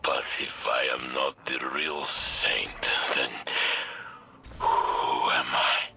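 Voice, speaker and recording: An actor playing a tormented robot warrior in a video game, with a grave, halting delivery. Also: male voice, a bass voice, steady tone, vocal fry, sharp tone, heavily acted. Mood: anguished. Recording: game music behind the voice.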